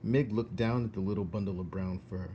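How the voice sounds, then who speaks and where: A man reading a children's storybook aloud in an expressive narrating style. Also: lowish voice, fairly quick, slight background noise.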